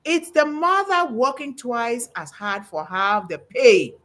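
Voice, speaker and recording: A woman's voice: fairly high pitch, animated, slow pace, a bit bright. A middle-aged woman hosting a panel talk, delivering an emphatic, preaching-style message and quoting scripture with rising emphasis. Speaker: host.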